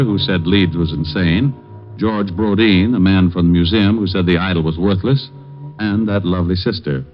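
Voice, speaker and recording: An actor playing a wisecracking hard-boiled private eye. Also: male voice, lowish voice, natural voice. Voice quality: dry, wry delivery. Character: wisecracking male private eye, cool and flirtatious. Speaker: detective and narrator. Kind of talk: 1950s radio drama acting with first-person narration. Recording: dull old radio broadcast with music.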